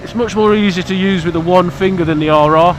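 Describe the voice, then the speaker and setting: A man's voice: high-pitched, quick pace, noisy background. A British man, stuffy from hay fever, chatting casually to camera about his motorbike outdoors in a blunt, cheeky, rambling way. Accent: British English. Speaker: motorcycle vlogger.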